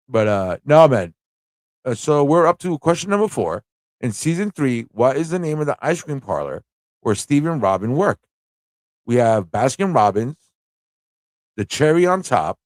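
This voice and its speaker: A man's voice: fairly high pitch, a little mellow, no room sound. A man hosting a casual live-stream trivia show, chatty and playful, often thinking aloud to his viewers. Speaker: host of a live pop culture show.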